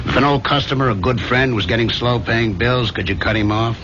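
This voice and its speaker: A man's voice: medium pitch, brisk, close to an everyday voice. An actor playing a brash, domineering businessman in a 1970s radio drama, with glib, slangy, overbearing patter. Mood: lecturing, scornful. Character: brash, fast-talking, domineering businessman boss. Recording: dull, noisy old radio broadcast recording.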